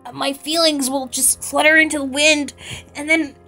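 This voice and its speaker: A woman's voice: high voice, level tone, normal speed, bright, forceful tone, soft background music. A woman improvising a game roleplay with friends in a playful, dramatic way. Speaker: player in a video game roleplay.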